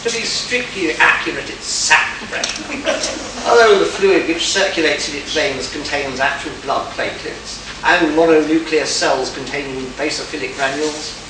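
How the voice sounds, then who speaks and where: A man playing an arrogant, excitable genius botanist in a pulp science fiction radio play, lecturing in a pompous, condescending way. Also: on the high side, moderate pace, lightly acted. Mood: absorbed, excited. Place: live convention performance, recorded from afar. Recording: distant echoey room, clearly noisy.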